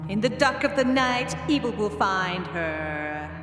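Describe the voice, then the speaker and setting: An imperious insect-pony queen from a fantasy storybook, voiced by a man. The voice is lifted high and moves slowly and regally. It rings with echo, and music plays behind it. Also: expressive. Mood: quiet, eerie singsong. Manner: slow, drawn-out delivery. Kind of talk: fantasy audiobook character voice. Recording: echoey reverb with music behind.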